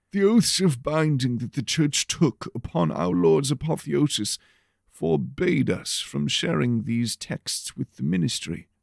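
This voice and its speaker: Man voicing a solemn, higher-pitched church rector. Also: creaky, no room sound, studio quality. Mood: grave, urgent. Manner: formal, deliberate, earnest. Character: solemn, secretive male church rector, scholarly and grave.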